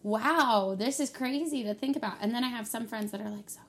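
A young woman hosting a casual podcast from a kitchen, ranting and cursing with excitable energy. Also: a little high, fairly quick, breathy edge. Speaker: podcast host. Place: kitchen at home.

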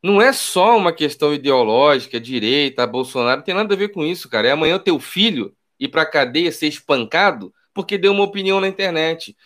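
Man, Carioca accent, political commentator, emphatic, slangy, slightly high pitch. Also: fairly bright tone. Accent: Carioca Brazilian Portuguese. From Rio de Janeiro, Brazil. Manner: emphatic, repeats key phrases, slangy. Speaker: political YouTuber. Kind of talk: casual political commentary with his wife.

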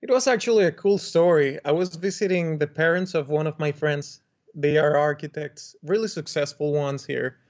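Young Dominican architect, muffled line. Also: male voice, fairly high pitch, moderate pace, a little mellow. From Dominican Republic. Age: young adult. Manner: chatty storytelling with frequent restarts and laughs. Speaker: architect guest. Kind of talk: interview conversation. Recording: muffled lo-fi remote audio.

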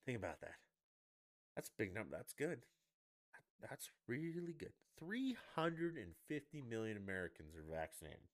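Man, low voice, lively swings, sarcastic, crude, mocking rant. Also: normal speed. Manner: mimics others in high mocking voices. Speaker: podcast host. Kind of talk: solo opinion rant.

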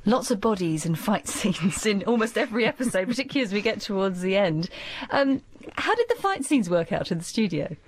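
Curious woman presenter, mid pitch. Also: big pitch swings, fairly quick. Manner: chatty, curious, warm questioning. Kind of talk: interviewing a guest.

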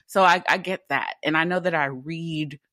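Low-voiced woman with a deep alto. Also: dry recording, clean studio sound. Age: 20s. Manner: candid, animated, with quick rhetorical questions. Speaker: interview guest, theatre actor. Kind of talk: casual interview conversation.

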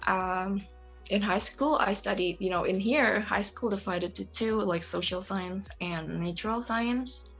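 A woman's voice: medium pitch, measured pace, fairly bright tone, soft background music, low-quality recording. A young woman from Indonesia, speaking English as a second language in a casual interview, with many fillers and restarts. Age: young adult. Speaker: interview guest, computer science student.

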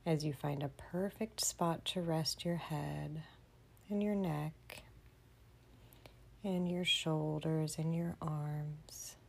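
A woman leading a children's bedtime meditation in a soothing, gentle manner, often dropping to a breathy near-whisper. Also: low-pitched. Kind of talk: guided bedtime meditation for kids.

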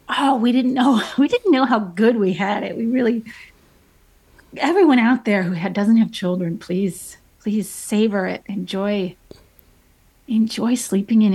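A woman's voice: medium pitch, darkish tone, breathy edge, low-quality recording. A woman in her thirties, a painter being interviewed, telling stories in a chatty way with plenty of fillers and restarts. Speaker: painter guest. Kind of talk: casual interview chat.

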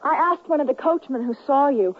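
A woman's voice: sits high, animated, brisk. An actress playing a polite, resourceful young woman in a radio western. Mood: apologetic, composed. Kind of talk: old-time radio western acting. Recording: tinny, narrow-band radio drama recording.

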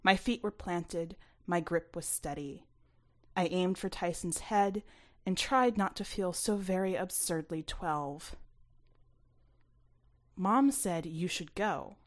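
A woman's voice: lowish voice, a little slow. A woman reading her own short story aloud as scripted first-person narration.

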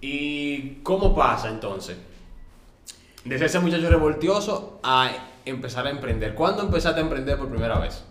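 A man's voice: medium pitch, lively intonation, normal speed, a bit bright. A man hosting a casual interview, asking follow-up questions and laughing along with his guest. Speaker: interview host. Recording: echoey room.

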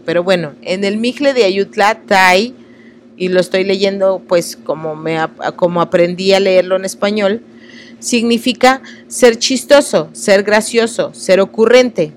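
A woman's voice: medium pitch, a little hiss or hum. A woman from Oaxaca, reading texts aloud and stopping for earnest, apologetic asides when she stumbles over unfamiliar words. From Oaxaca, Mexico.

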